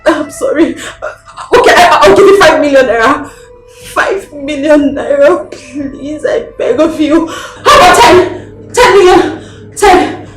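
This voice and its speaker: A woman's voice: high-pitched, expressive, slow, far from the mic. An actress with a Nigerian accent playing a terrified, wealthy captive woman who begs and stammers in a film drama. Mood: desperate, tearful. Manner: begging, stammering, repetitive. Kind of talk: melodramatic film acting. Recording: echoey, off-mic, music underneath.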